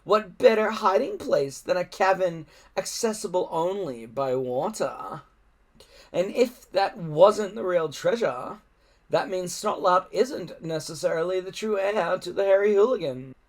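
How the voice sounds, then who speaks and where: A treacherous storybook villain acted out by a man. He is sly and menacing, stretching his words slowly and insinuatingly, with a lively swing in pitch. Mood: sly persuasion. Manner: slow, drawn-out, insinuating.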